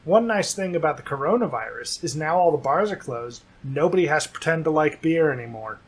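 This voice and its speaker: A man's voice: on the high side, animated, brisk, husky. A man co-hosting a comedy news show, delivering jokes dryly and teasing his co-host. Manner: dry joking delivery, playful teasing. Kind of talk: scripted comedy news banter.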